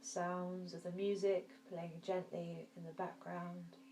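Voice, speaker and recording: A woman teaching yoga nidra, guiding a relaxation meditation in a soft, soothing, gentle manner with long pauses. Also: lowish voice, flat delivery. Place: indoor room. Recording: roomy echo, faint background noise.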